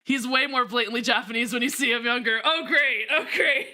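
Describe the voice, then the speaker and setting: Bright, high-voiced giggly woman streamer. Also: a little fast. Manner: giggly, chatty, self-mocking. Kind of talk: casual gameplay commentary.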